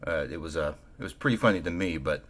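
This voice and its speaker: A man voicing a stoic young half-orc male cleric in a low, slightly gravelly voice, halting and flat with many pauses. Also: exaggerated voice.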